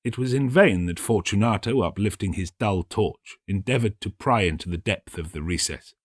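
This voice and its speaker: A man narrating a literary story as an audiobook, in a measured, precise and theatrical way. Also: fairly low pitch, dry recording. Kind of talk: dramatic scripted literary narration.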